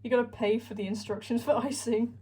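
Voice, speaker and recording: Teasing woman, slightly high voice. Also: steady tone, mellow, slight background noise. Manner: teasing, quick wisecracks. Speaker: co-host baking for a video. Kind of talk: casual chat. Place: home kitchen.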